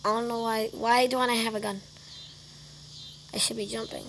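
A woman's voice: a little high, steady tone, normal speed, fairly bright tone, rough recording. A young woman giving casual gameplay commentary, muttering to herself as she records on a phone. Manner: muttering to self. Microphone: phone screen recorder microphone. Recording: faint background noise, phone recording.

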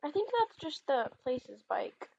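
A woman's voice: high voice, sing-song, unhurried, vocal fry, darkish tone, dry recording, muffled recording. A woman chatting casually as she role-plays through a video game as the host. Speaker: gaming video host, mother playing with her daughter. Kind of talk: casual role-play chat over gameplay.

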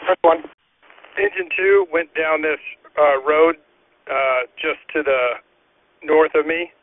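A man working as a fire incident commander, giving procedural orders over a two-way radio with deliberate pauses. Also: mid-range voice. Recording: tinny narrowband fire radio scanner audio.

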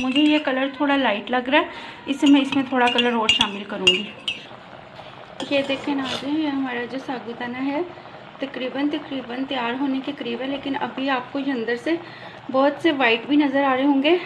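A woman's voice: high voice, heavy background noise. A woman hosting a cooking channel, patiently explaining each step of a recipe as she works in her home kitchen on a handheld phone.

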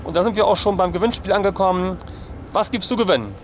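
A man's voice: sits high, fast, a little hiss or hum. A man in his forties with a German accent, presenting a casual family vlog outdoors to a handheld camera. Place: outdoors in an amusement park by a lake. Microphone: camera held at arm's length.

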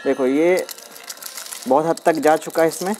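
Man, casual demo vlogger, step-by-step explaining, slightly high pitch, outdoors, phone camera. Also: measured pace, darkish tone, soft background music. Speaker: DIY experiment vlogger. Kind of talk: casual hands-on demonstration. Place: outdoors on a concrete floor, at dusk.

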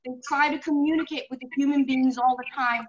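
A woman's voice: high-pitched, fairly even intonation, a little fast. A middle-aged woman with a Finnish accent, a horse behaviorist guest speaking earnestly over a video call, explaining with examples and many "you know"s. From Finland. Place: home room indoors. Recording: muffled video call audio with faint hiss.

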